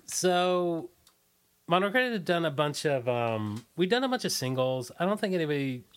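Loose, halting man chatting casually. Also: a little high, big pitch swings, clean tone. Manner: loose, halting, often trailing off. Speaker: interview guest, musician. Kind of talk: casual chat, recollections.

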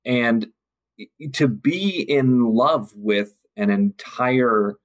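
Male podcast guest with an average-pitched voice, musing deliberately in halting phrases with firm emphasis. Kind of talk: reflective podcast conversation.

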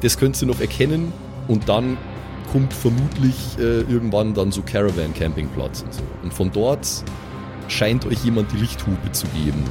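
A man's voice: on the low side, moderate pace. A man improvising descriptive horror narration as game master, feeling out his phrases as he goes. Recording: loud music behind the voice. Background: music.

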